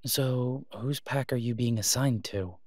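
A man playing a worried, devoted boyfriend in an audio roleplay, speaking haltingly and earnestly, as in intimate conversation. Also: medium pitch, no echo, studio quality, natural voice. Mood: shocked, sympathetic.

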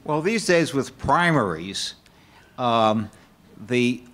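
An older man gives an explanatory answer from a panel table. His voice is mid-pitched and his delivery is slow. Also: very animated, fairly bright tone. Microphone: table microphone.

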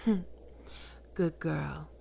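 A woman voicing a seductive, domineering female demon queen of lust in an ASMR roleplay, speaking slowly and sultrily, often in a whisper. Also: low voice, gravelly, mellow, slight background noise, heavily acted. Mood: commanding.

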